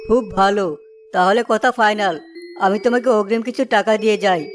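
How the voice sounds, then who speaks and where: A woman's voice: medium pitch, steady tone, a little fast, faint music behind, natural voice. A woman voicing a wealthy, commanding father in a cartoon story. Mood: commanding, approving.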